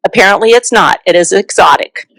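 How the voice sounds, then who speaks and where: A woman's voice: mid-range voice, brisk, creaky, fairly bright tone, no echo, poor audio. A middle-aged woman from South Carolina, an author giving a chatty book talk with frequent fillers.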